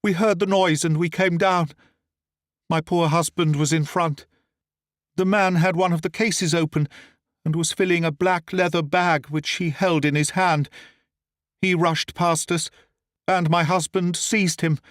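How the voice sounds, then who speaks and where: A man voicing a scheming noblewoman who feigns grief, speaking high, pleading and theatrical. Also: steady tone, measured pace, dry recording, clean studio sound, close to an everyday voice. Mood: distressed.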